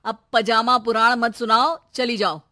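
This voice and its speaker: A woman voicing a bossy older mother-in-law in a cartoon who scolds loudly. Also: on the high side, steady tone, a little slow, dry recording, clean studio sound. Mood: scolding.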